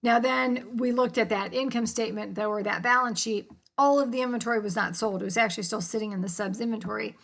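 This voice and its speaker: A woman's voice: on the high side, fast talker, creaky, hardly any pauses, a bit bright. An American woman giving an informal, explanatory lecture as an instructor, in colloquial language with casual check-ins. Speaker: accounting instructor.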